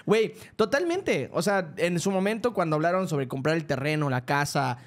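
A young man with a Mexican accent hosts a podcast, his voice pitched a little high. He teases his co-host in loose slang and slips into a mock-formal tone for news items. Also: expressive, normal speed. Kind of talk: casual comedic chat. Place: podcast studio.

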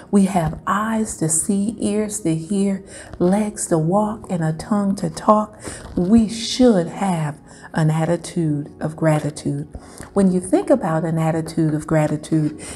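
A woman's voice: lowish voice, mellow. A middle-aged woman teaching a church school lesson, reading steadily with emphatic, preacher-like rises. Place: small indoor room before a wall screen.

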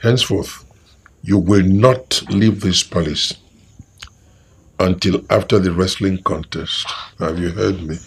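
A man's voice: low-pitched, slow, a little hiss or hum. A man playing a stern king who scolds his daughter and threatens his guards. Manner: commanding, threatening. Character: stern commanding king, angry father.

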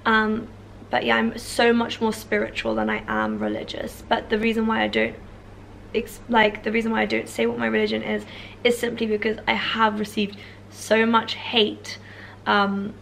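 A young English woman in her twenties, husky from a cold, chatting casually through viewer questions in a room at home. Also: fairly high pitch, normal speed, fairly bright tone, slight background noise. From England. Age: 20s. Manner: chatty, many fillers like "like" and "um". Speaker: YouTuber answering viewer questions. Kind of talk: casual Q&A chat. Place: bedroom or living room, indoors. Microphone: camera in front, seated close.

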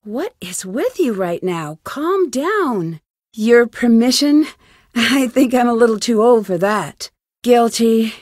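A woman's voice: fairly high pitch, expressive, slow, darkish tone, no echo, studio quality. A woman playing a young American detective in a video game, inquisitive, determined and guarded, speaking in a direct, conversational way. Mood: defiant, hurt. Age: young adult. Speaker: video game lead character. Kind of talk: scripted game dialogue.

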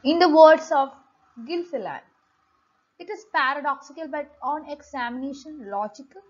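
A woman giving an explanatory lesson as a lecturer, speaking haltingly with frequent pauses and repeated words. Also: high voice. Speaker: lecturer, host of an economics channel. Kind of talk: explanatory lesson read from slides. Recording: dull, lo-fi home recording.

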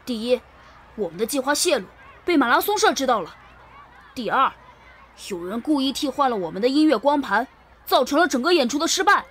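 A man's voice: extremely high pitch, big pitch swings, fairly quick, a bit bright, breathy edge, pitched above the performer's own voice, heavily acted. A man voicing a serious teenage schoolboy detective who lays out the facts earnestly in a children's audio drama. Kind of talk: audiobook character voice.

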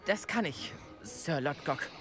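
An actor playing an eager, bookish young male wizard student in a German game dub, excitable and fussy about pronunciation. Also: fairly high pitch, slow pace. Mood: flustered. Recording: game audio with loud music behind.

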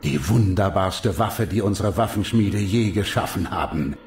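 An actor playing an ancient, noble and wise vampire mentor in a video game, speaking in a solemn, measured, sorrowful way. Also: male voice, low voice, a little mellow, roomy sound, slight background noise, lightly acted. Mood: solemn, earnest. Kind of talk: dramatic scripted game dialogue.

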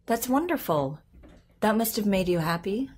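An actress playing a patient, professional therapist in an audio drama, asking questions in a measured, careful way. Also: female voice, mid-range voice, expressive, a little mellow, breathy edge. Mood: composed, pleasant. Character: composed, patient woman therapist, probing and professional. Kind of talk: naturalistic audio drama dialogue.

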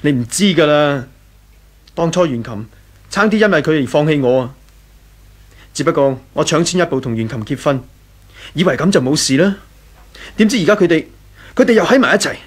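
A man's voice: a little high, lively intonation, a little fast, pauses often. A man with a Hong Kong Cantonese accent playing a jealous, self-pitying young rich husband in a 1980s radio drama. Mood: agitated, jealous. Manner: emotional, self-pitying, impatient. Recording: 1983 radio drama with background music.

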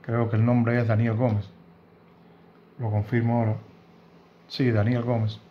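A man in his fifties from Cuba with a Cuban Spanish accent, giving earnest, indignant news commentary to camera from a room at home, often pausing and restarting. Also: medium pitch, flat delivery, dull audio, a little hiss or hum. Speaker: host of a news commentary channel. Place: home room with bookshelves, indoors.

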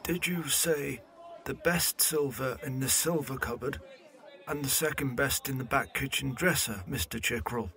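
Man acting bright, distant, hapless footman. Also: mid-range voice, moderate pace. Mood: flustered. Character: hapless, forgetful, timid footman in a Victorian household. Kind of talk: period audio drama acting. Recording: distant from the mic.

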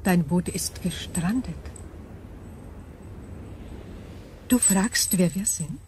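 An actress playing an ancient alien crystal being in a radio play, calm and coolly logical, speaking in measured, formal phrases. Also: female voice, fairly low pitch, slight background noise, natural voice. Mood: calm, eerie. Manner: measured, formal, precise diction. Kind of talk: German radio drama acting.

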